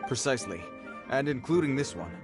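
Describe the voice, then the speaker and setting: A stiff, polite patrol guard played by a man, formal and easily flustered, given to weary sighs. His voice sits at an ordinary middle pitch in video game dialogue, with music under it. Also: very animated, brisk, close to an everyday voice.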